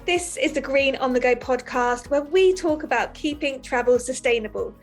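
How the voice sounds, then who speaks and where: A woman's voice: sits high, normal speed, faint music behind. A woman hosting a podcast, introducing and questioning a guest in a conversational style. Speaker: podcast host.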